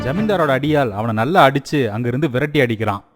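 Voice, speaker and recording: A man's voice: medium pitch, animated, quick pace, loud music behind the voice. A man reading scripted narration for a children's moral story.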